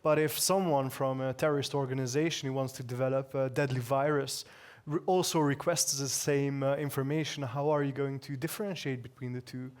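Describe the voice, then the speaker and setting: A man's voice: medium pitch, fairly even intonation, a little fast, a little mellow. A man co-speaker at a conference answering an audience question, thinking aloud with restarts. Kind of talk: tech talk Q&A answers.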